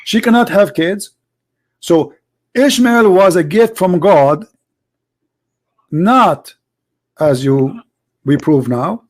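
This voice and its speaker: A man debating religion, arguing forcefully and repeating himself as he presses his point. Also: a little high. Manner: forceful, argumentative, repeats words. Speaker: debate host. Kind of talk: heated debate.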